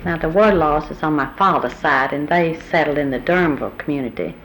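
An older woman, unhurried and pausing as she recalls family history in a taped oral history interview. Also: deep voice, low-quality recording. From Southern United States. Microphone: tape recorder microphone. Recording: old tape recording with faint hiss.

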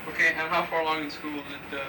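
A man interviewing for a dialect study, asking questions haltingly with many restarts. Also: a little high, level tone. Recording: roomy distant old field tape with loud hiss.

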